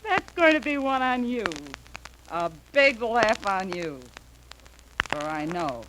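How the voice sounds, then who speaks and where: An actress playing an imperious, sharp-tongued young scheming wife in 1930s radio melodrama, demanding and indignant. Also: female voice, fairly high pitch, animated, slow pace. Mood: defiant. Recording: noisy, crackly 1930s radio recording.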